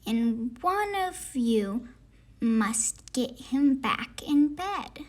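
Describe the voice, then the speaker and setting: A woman's voice: high-pitched, expressive, drawn-out words, a little mellow, exaggerated voice. Woman reading as a stern, commanding monster boss. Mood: instructing. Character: stern male monster boss giving orders.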